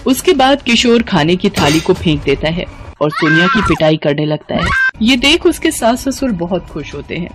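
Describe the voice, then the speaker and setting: A woman's voice: on the low side, very animated, moderate pace. A woman narrating a scripted moral tale in a dramatic storytelling style. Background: background music.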